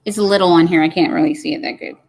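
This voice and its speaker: A woman's voice: on the low side, fairly even intonation, fast talker, a little mellow, soft background music. A woman, hosting a casual live stream and chatting folksily as she reads comments aloud. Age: 50s. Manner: chatty and folksy, says y'all.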